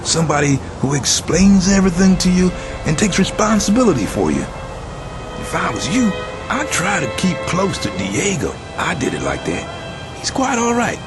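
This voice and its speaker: An actor playing a friendly young convict in a video game, easygoing and confiding. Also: male voice, high voice, huge pitch swings, normal speed. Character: friendly, chatty young male convict newcomer. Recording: loud music over the dialogue.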